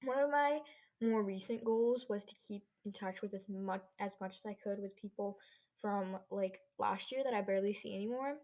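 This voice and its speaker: A woman's voice: mid-range voice, normal speed, a little mellow. A teenage girl hosting a casual solo podcast, chatting in a rambling, slangy way. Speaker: podcast host. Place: own bedroom.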